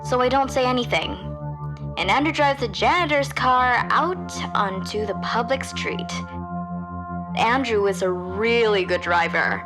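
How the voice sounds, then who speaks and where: A young woman playing a nervous high school girl who tells her story in a casual, hesitant way, as a confessional audio drama monologue. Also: measured pace, over music, lightly acted. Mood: resigned, reluctant.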